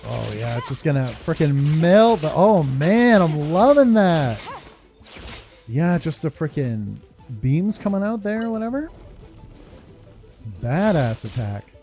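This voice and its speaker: A man hosting a gaming video in casual, sweary commentary. His voice is gravelly and swings up and down in pitch as he gets excited. Music plays behind him. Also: slow. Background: game music.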